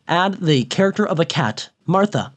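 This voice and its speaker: A man's voice: high-pitched, expressive, moderate pace. A man co-hosting a show, explaining things in a casual chat. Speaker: co-host.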